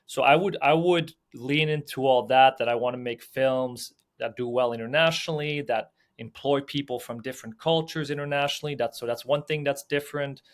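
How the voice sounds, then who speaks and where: A young man from Belgium talks through his ideas as an interview guest. His delivery is loose and conversational, packed with "um", "like" and repeated restarts, at a middle pitch. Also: brisk, hardly any pauses. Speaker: film producer and sales agent, guest. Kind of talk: casual podcast interview.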